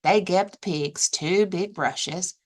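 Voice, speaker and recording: A woman librarian narrating a children's storybook aloud, deliberate and expressive, dwelling on action words. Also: fairly low pitch.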